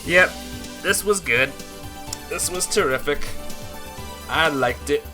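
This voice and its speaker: A woman's voice: low voice, takes it slowly. A woman game streamer reading a visual novel aloud and chatting casually with viewers. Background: music.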